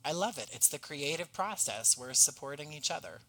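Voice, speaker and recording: A man's voice: fairly high pitch, lively intonation, a little fast, bright, forceful tone, rough recording. A man speaking as a podcast guest in a casual conversation, earnest and self-deprecating.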